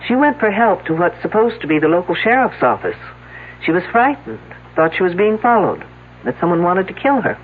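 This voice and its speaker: An actor playing a sardonic, impatient police detective in a radio drama, with a dry, sarcastic delivery. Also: male voice, sits high, very animated, fairly quick, narrow, tinny audio. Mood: probing. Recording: noisy, band-limited old radio broadcast.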